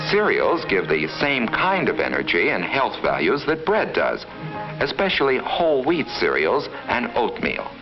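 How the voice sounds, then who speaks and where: A man with a fairly low voice reads scripted narration for a vintage 1950s classroom film. He instructs like a storyteller talking to children, with animated rises and falls. Music plays clearly behind him on the dull old soundtrack. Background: background music.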